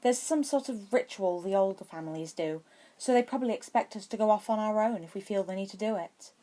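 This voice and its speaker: Woman as a high, blunt young schoolgirl. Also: a little fast, mellow, lightly acted. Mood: explanatory. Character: bossy, lively young schoolgirl.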